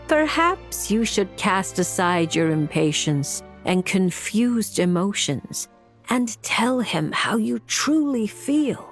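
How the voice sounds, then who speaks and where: A woman voicing a calm, knowing fortune teller in a video game, measured and cryptic, with music behind her. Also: medium pitch. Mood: gently teasing. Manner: measured, cryptic, gently teasing. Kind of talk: theatrical video game voice acting.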